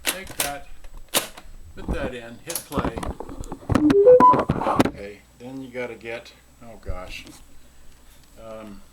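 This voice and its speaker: A man giving a casual, unscripted product demonstration, halting and scattered as he mutters to himself. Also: mid-range voice, expressive. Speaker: seller demonstrating vintage cassette radio. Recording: very noisy home video with clicks.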